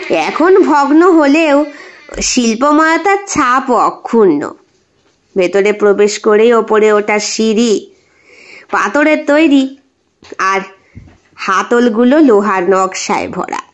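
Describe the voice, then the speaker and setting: A woman's voice: fairly high pitch, slow pace, pauses often. A woman narrating an audio story in a dramatic storytelling style.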